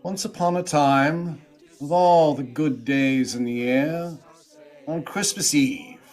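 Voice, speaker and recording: Husky, slow, dramatic storyteller man narrating. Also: mid-range voice. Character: dramatic, relishing male storyteller. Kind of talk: theatrical scripted storytelling narration.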